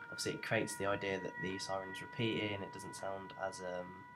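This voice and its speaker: A young adult man giving an informal, unscripted software tutorial in a hesitant, rambling way, as a presenter walking through each step. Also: low voice, little pitch movement, a little fast, over music. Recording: noticeable background noise.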